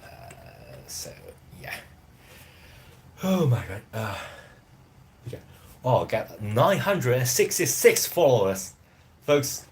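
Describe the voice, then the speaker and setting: A man's voice: medium pitch, sing-song, slow pace, pauses often, slight background noise. A man in his thirties whose first language is Chinese, chatting casually on a live stream as a language teacher, rambling and hesitant. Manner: rambling, hesitant, loose phrasing.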